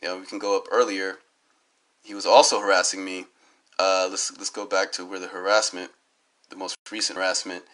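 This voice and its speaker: A hoarse man with a cold, rambling through a self-recorded phone commentary with lots of fillers and restarts while he clicks through web pages. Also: deep voice, flat delivery, fairly quick, a bit bright. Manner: tangential, many fillers and restarts. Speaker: DJ giving his side of a dispute. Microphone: phone held up at arm's length.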